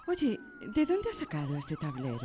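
Radio drama role: playful mother, indulgent, swooping intonation, lowish pitch, music underneath. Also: huge pitch swings, normal speed, dark tone, in a large hall, slight background noise. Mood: curious. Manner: teasing, indulgent. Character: playful, affectionate mother of a young girl.

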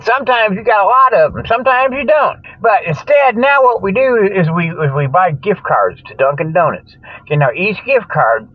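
A man's voice: high-pitched, animated, normal speed, no room sound. An older man hosting a comic radio show in a rambling, folksy, joking monologue, often losing his thread. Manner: folksy, jokey, chuckles at own jokes, loses his train of thought. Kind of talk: rambling tongue-in-cheek radio monologue with mock sponsor plugs. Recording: dull, lo-fi, dry radio sound.

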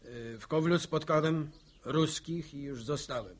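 A man's voice: a little high, unhurried. An actor playing a seasoned, plain-spoken middle-aged village man in a radio drama, telling stories colloquially. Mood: reflective recounting. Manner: colloquial, animated storytelling.

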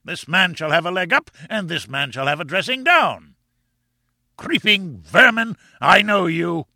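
A man voicing a furious, bullying professor in an audiobook, pompous and menacing, with a rumbling, booming delivery. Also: very animated, a little slow, sharp tone, no echo, clean studio sound, heavily acted. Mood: raging contempt. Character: short, barrel-built furious professor, bullying and contemptuous. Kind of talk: audiobook character acting.

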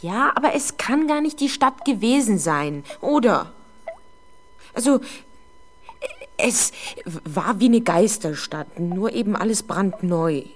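A woman's voice: mid-range voice, lively intonation. Frightened, defensive teenage girl. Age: young adult. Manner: hesitant, halting, exasperated when doubted. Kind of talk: 1970s German radio drama acting.

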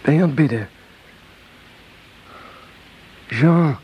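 An actor playing a wounded young idealist rebel in a Dutch radio play, exhausted and in pain, speaking in strained, broken phrases. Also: male voice, on the high side, a little fast, slightly rough, muffled recording. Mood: exhausted, anxious. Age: young adult. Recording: noisy old radio play recording.

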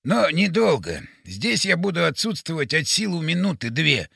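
Man acting as an older father, determined, measured, deliberate speech, dry close sound, audiobook character. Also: on the high side, big pitch swings, gravelly, a bit bright, slightly rough, no echo, exaggerated voice. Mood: calm. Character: determined father, calm older man intent on rescuing his daughter.

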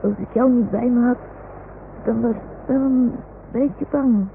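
Little boy in an old radio play, earnest n childlike, real slow, thin hissy sound. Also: a little high, creaky. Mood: anxious, pleading. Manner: childlike, slow, simple phrasing. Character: small earnest boy talking to his toy dog. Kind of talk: 1960s Dutch radio drama acting. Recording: noisy, muffled old radio recording.

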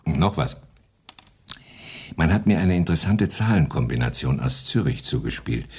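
An actor playing a smug, scheming wartime SS camp official with a husky, rough voice in a German radio play. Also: male voice, very deep voice, big pitch swings, moderate pace, darkish tone, exaggerated voice. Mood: confidential, scheming.

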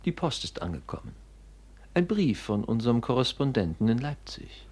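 An actor playing a scheming, envious younger son in a classical radio play, theatrical and declamatory, with an oily show of devotion. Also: male voice, medium pitch, big pitch swings, normal speed, a little mellow, breathy edge. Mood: falsely solicitous. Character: scheming, envious younger son, falsely pious, coldly ambitious.

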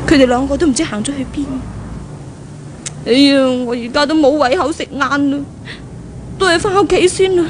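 Casting: young rich heiress, high voice, Hong Kong Cantonese accent, petulant, possessive, scheming, 1970s radio melodrama. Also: female voice, background noise. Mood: distraught, brooding. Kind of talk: 1970s radio drama acting. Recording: 1970s radio drama recording.

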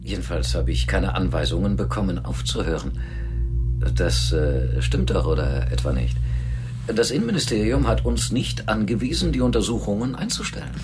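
An actor playing a formal, slightly hesitant and defensive research professor in a radio crime drama. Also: male voice, low-pitched, expressive, normal speed, background noise. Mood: defensive, uneasy.

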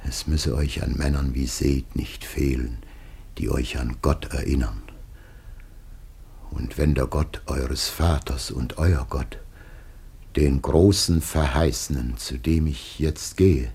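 An actor playing a dying old patriarch, solemn and halting, blessing his children in exalted classical radio drama with a gravelly, breathy voice. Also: a bass voice, steady tone, darkish tone, exaggerated voice. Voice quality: gravelly, breathy, broken by whispers.

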